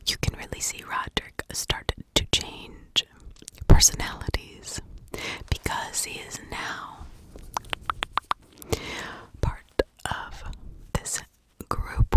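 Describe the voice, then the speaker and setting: A woman telling a true crime story as ASMR in a breathy whisper, in short, halting phrases with pauses. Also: a bit bright, low-quality recording.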